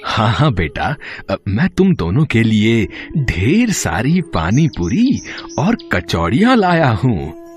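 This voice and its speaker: A man voicing a gentle elderly village father in a cartoon story, speaking slowly and reassuringly. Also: medium pitch, huge pitch swings, faint music behind. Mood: fatherly.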